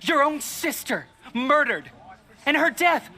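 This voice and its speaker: An actor playing an angry male agitator who shouts to a crowd for vengeance in a game cutscene. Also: very high voice, expressive, takes it slowly, heavily acted. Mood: furious. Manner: shouting, rabble-rousing. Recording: loud crowd and noise behind.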